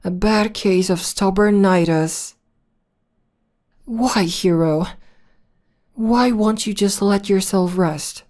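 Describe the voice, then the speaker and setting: A woman playing a villainess in an ASMR roleplay, soothing and teasing as she tends to her nemesis with possessive tenderness and drawn-out endearments. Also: mid-range voice, a little mellow, close to an everyday voice. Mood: stern, exasperated. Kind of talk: ASMR roleplay, soft intimate acting.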